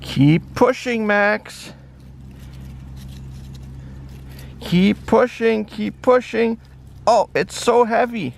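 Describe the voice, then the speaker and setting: A man voicing an eager, playful little dog in a singsong, excitable way for a children's story. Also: high-pitched, slow pace, vocal fry, a little hiss or hum, exaggerated voice. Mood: straining, urging. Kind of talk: children's animal story role-play.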